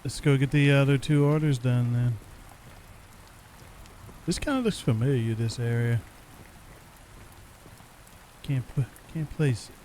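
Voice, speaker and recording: A man giving casual, low-key gameplay commentary, thinking aloud in short, terse remarks as he plays. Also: a little slow, pauses often, darkish tone. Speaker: gamer playing and commenting. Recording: faint rain noise behind.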